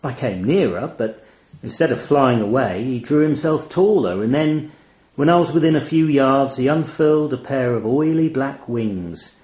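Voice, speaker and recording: A man reading a first-person literary short story in an expressive, measured, wry manner. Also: medium pitch, echoey room. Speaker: audio story reader.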